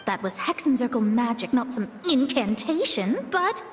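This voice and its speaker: A woman's voice: a little high, very animated, normal speed, slight background noise. Exasperated young woman in long reverb. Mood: resigned, dry. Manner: dry, grudgingly indulgent. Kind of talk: video game voice acting.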